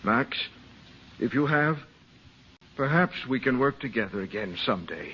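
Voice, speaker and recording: An actor in an old-time radio drama playing an earnest, principled scientist who speaks with urgency. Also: male voice, mid-range voice, lively intonation, measured pace, natural voice. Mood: earnest, pleading. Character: earnest, principled male scientist, pleading, trusting. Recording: dull, noisy old radio recording.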